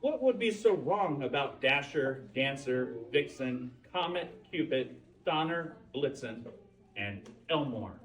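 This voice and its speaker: A man reads to children at a story time with highly animated, swinging intonation. He addresses his listeners directly with questions. The microphone sits some way from him in a live room. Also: far from the mic, rough recording. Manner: pauses to ask the listeners questions. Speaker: Santa reading a picture book at a library story time. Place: indoors, library holiday open house.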